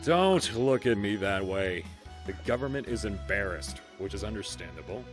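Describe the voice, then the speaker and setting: An actor voicing a smug, manipulative government official in a visual novel, cool, dismissive and sardonic. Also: male voice, on the low side, animated, normal speed, lightly acted. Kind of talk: English visual novel voice acting. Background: music and instruments.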